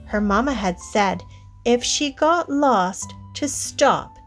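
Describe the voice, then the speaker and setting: A woman reads a picture book aloud for children. Her voice is fairly high and very unhurried, deliberate as she speaks to young listeners, with soft music behind her. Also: lively intonation. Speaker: children's storybook reader. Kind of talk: scripted read-aloud narration for children.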